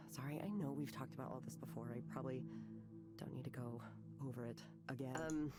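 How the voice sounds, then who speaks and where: A woman's voice: rumbling low, steady tone, normal speed. Anxious, self-doubting young woman artist. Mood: discouraged. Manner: hesitant, repeats words, self-deprecating. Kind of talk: naturalistic visual novel voice acting. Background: music.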